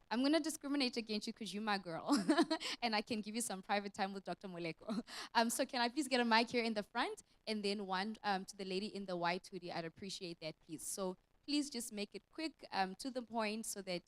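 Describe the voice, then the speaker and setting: Brisk woman moderator. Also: a little high, poor audio. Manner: friendly, brisk, jokey.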